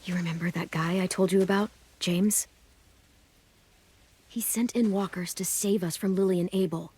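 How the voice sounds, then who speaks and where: A woman's voice: on the low side, steady tone, normal speed, breathy edge. A woman voicing a determined, serious teenage girl who leads survivors in a zombie apocalypse video game. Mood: hushed, planning. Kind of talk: video game dramatic voice acting. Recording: loud music under dialogue. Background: dramatic game music.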